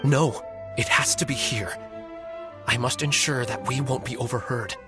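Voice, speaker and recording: A man playing a calculating, eloquent novelist bent on revenge in a video game, speaking deliberately and theatrically. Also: medium pitch, over music, natural voice. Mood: sly. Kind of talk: video game character acting.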